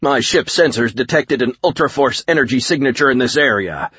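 Bellowing, grandiose alien warlord, raised in pitch. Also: male voice, animated, a bit bright, breathy edge, dull audio. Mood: threatening. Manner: bellowing, grandiose, drawn-out pronouncements. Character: bellowing alien warlord monster, arrogant, menacing conqueror.

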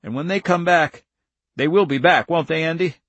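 A man's voice: fairly high pitch, moderate pace, a bit bright, no echo, highs cut off, pitched above the performer's own voice. A man voicing a wounded older farmer in an audiobook story, plainspoken, stern and stubborn, with folksy country talk. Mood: resolute. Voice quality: breathless.

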